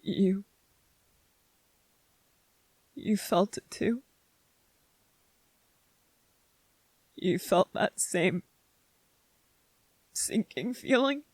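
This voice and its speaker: A performer playing a gentle young male soldier in an intimate ASMR roleplay, speaking tenderly and haltingly with vulnerable, earnest emotion. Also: mid-range voice, animated, no room sound, poor audio. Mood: aching, sorrowful.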